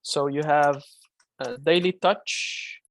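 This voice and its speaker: Malaysian man with a gravelly voice. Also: fairly high pitch, slow pace, dry recording. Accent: Malaysian English. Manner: casual, chatty, many fillers and restarts. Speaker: trading mentor. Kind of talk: live-streamed trading Q&A.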